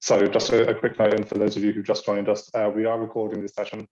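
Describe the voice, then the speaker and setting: British guy doing an online orientation, low flat voice, lots of ums, kinda muffled zoom audio. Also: fast talker. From Britain. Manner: hesitant, many ums and uhs. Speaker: university international programs staff. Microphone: video call.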